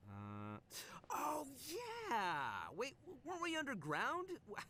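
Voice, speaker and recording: A man voicing a brash, boisterous young male gang boss who talks loud and cocky. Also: very high voice, wildly swooping pitch, slow pace, a little hiss or hum, full character voice. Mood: groggy, puzzled.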